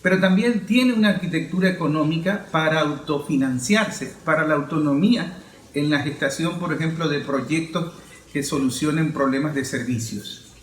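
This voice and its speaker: A man speaks in a fairly high voice, explaining politics with emphatic, teacherly phrasing. The recording is clearly roomy, with a faint layer of noise behind him. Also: moderate pace. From Venezuela. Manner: didactic, emphatic, rhetorical questions. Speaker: political commentator. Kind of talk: explanatory political commentary to camera.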